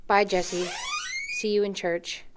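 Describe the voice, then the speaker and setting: A woman plays a young party guest in a film drama. She talks casually and drily, at a middling pitch for a woman. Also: flat delivery, takes it slowly, heavy background noise, close to an everyday voice. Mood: dry. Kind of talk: film dialogue.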